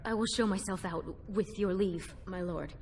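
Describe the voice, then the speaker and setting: A woman's voice: mid-range voice, moderate pace, darkish tone, lightly acted. An actress playing a timid, apologetic young woman, a prince's companion in a fantasy game, hesitant and stammering. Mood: embarrassed. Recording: faint music behind the voice.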